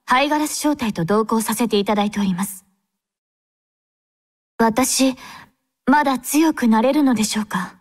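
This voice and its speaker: Anime game role: young female android squad captain, devout, courteous, composed, lightly high-pitched. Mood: tender, wistful. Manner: formal, gentle, composed. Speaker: game character voice actor.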